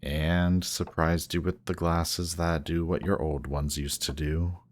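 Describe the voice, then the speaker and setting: A man playing a grumpy, guarded villain who is secretly softhearted, speaking haltingly and defensively in an intimate one-sided roleplay audio. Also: low voice, flat delivery, a little hiss or hum. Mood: grudgingly fond. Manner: halting, defensive, reluctantly tender. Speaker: voice actor.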